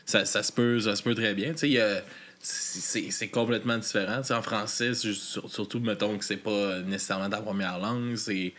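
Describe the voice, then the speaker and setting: A man's voice: fairly low pitch, fairly even intonation, fairly quick, a bit bright. A man with a Québécois French accent, hosting a podcast interview in a loose, casual style with frequent swearing and laughter. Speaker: podcast host.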